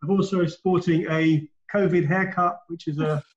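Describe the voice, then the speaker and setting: A man's voice: on the high side, fairly even intonation, moderate pace. A man, chatting cheerfully and eagerly on a video call from his home kitchen. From Scotland. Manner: cheerful, enthusiastic. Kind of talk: casual chat. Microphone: video call laptop mic. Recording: echoey, dull video call audio.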